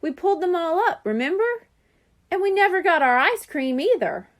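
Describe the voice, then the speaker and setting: A woman's voice: very high voice, expressive, normal speed, voice pushed up. Storybook role: whiny, nervous small boy played by a woman, complaining, swooping intonation. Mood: doubtful. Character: grumbly, easily spooked young boy who complains.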